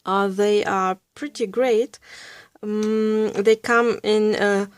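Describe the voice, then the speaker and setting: A woman with a Russian-German accent talks through a coloring tutorial at an unhurried pace, pausing on lots of fillers. Her voice sits at a middle pitch, and the sound is dry and close. She sometimes sinks to a soft near-whisper as she works. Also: steady tone, clean tone, dry recording. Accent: Russian and Bavarian German. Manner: hesitant, many fillers, giggly. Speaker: art tutorial creator. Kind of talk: casual drawing tutorial.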